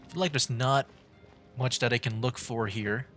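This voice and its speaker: A man's voice: mid-range voice, normal speed, a bit bright, faint music behind. A man giving casual live game commentary as a streamer, rambling as he thinks aloud.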